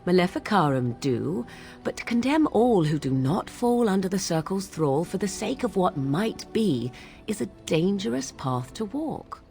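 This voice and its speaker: A woman's voice: medium pitch, sing-song, measured pace, gravelly, darkish tone, faint music behind. A woman playing a haughty young witch in a video game, speaking in archaic, precise, aloof phrases. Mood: firm, guarded. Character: haughty young apostate witch, a shapeshifter of the wilds. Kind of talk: video game dialogue acting.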